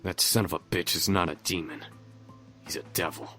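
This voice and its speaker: A man voicing a hot-headed, protective young demon in a visual novel, blunt and expressive. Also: deep voice, moderate pace, soft background music. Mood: indignant.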